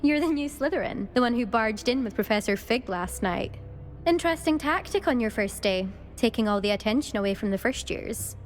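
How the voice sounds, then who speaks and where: Actress doing teasing smug witch schoolgirl in a game, highish, very lively voice. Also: a little fast, faint music behind, natural voice. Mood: knowing, teasing. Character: sharp-tongued, smug teenage witch schoolgirl. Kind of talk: scripted video game dialogue.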